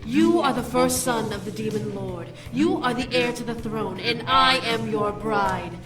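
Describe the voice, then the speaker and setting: A woman's voice: fairly high pitch, a little slow, over music, lightly acted. A woman playing a haughty demon noblewoman in a visual novel game, with an imperious, mocking, theatrical delivery. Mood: indignant. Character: haughty female demon noble, scorned fiancée and would-be queen.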